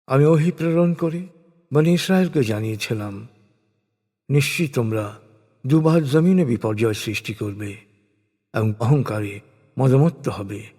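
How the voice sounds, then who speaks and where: A man reads scripted religious prose as a narrator, solemn and formal, with measured, declamatory phrasing. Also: mid-range voice, darkish tone. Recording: long reverberant hall-like echo.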